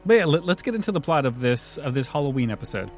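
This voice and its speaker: A man's voice: a little high, big pitch swings, quick pace, faint music behind. A man hosting a podcast in a casual, conversational style. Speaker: podcast host.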